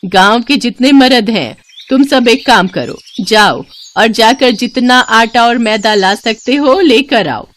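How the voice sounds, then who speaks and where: A woman voicing a bossy, spirited elderly village aunt in a story, scolding with drawn-out, emphatic words. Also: a little high, lively intonation, fairly bright tone, pitched above the performer's own voice. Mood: rallying, scolding. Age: older. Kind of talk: storybook character voice.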